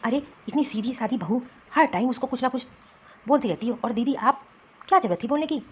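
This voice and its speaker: Protective cartoon son, played by a woman: raised pitch, reproachful, insistent, a little lo-fi. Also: lively intonation, a little fast, breathy edge. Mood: indignant. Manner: remonstrating, insistent. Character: protective adult son and husband, righteous and reproachful. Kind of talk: Hindi cartoon family drama acting.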